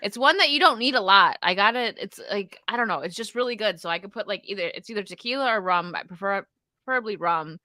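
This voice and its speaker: A woman's voice: mid-range voice, animated, fast, sharp tone, dry recording. A woman hosting a casual livestream chat, laughing often and thanking viewers for donations. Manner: giggly, bubbly, laughs often. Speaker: livestream host.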